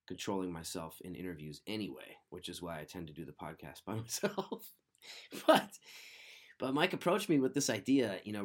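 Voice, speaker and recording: A man hosting a podcast, a bit nasal and sniffly from a cold, talking in a relaxed, rambling, earnest way. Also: low voice. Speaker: podcast host. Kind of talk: casual podcast intro.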